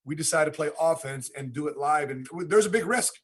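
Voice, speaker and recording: A man with an American accent hosts in a casual podcast style. His voice sits a little high and has a husky, rough edge, and he often repeats a phrase to stress it. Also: expressive, a little fast. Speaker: podcast host.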